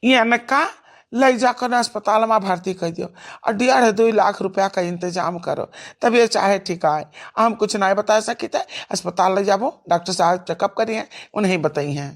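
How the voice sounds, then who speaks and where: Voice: mid-range voice, normal speed, lightly acted. An actor voicing a blunt, money-minded village doctor in a cartoon comedy, with an Awadhi accent and matter-of-fact delivery. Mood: grave.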